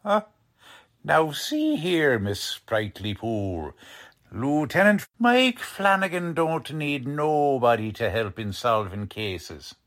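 A man's voice: medium pitch, sing-song, slow pace, fairly bright tone. A man playing a cocky, folksy police lieutenant with slangy, ungrammatical talk in 1940s radio drama style. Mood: jovial, blustering. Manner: slangy, ungrammatical tough-guy speech.

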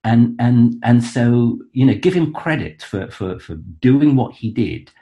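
A man's voice: mid-range voice, expressive, unhurried, warm tone, dull audio. A man who is an author, appearing as a guest expert and explaining history in a conversational way, full of restarts and fillers. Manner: hesitant, many restarts and fillers, enthusiastic.

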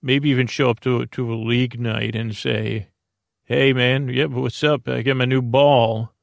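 A man with a gravelly, creaky voice drifts from thought to thought in an unhurried bedtime ramble. He trails off and restarts constantly, filling the gaps with soft "uh"s. The sound is dry and close, with no room around it. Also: medium pitch, flat delivery, dry recording. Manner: meandering, many fillers and restarts. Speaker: podcast host. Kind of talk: rambling sleep-podcast monologue.

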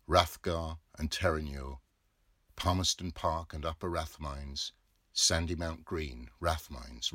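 Very deep-voiced Englishman reading literature slowly. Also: bright, forceful tone, dry recording, clean studio sound. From England. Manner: measured, deliberate phrasing. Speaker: audiobook reader. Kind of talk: literary narration.

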